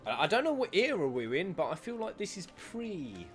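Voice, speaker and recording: A man hosting a reaction video, slangy and excitable, talking to viewers over game audio. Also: high-pitched, normal speed, faint music behind. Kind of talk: casual live reaction commentary.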